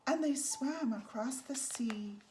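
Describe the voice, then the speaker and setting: Higher-voiced woman reading a children's storybook. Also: slow pace, darkish tone. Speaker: storybook reader. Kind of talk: read-aloud children's picture book narration. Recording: faint background noise.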